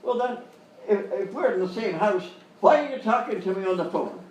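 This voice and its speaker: An actor playing an excitable, dim-witted police chief in a live comedy sketch, blustering and befuddled. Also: male voice, sits high, fairly quick, lightly acted. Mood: agitated, befuddled. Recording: echoey, distant live stage recording, faint noise.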